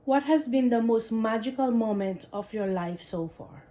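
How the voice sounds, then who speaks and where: A woman's voice: a little high, normal speed, dark tone. A woman reading game questions aloud and teasing her husband in a casual chat. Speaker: wife asking the game questions.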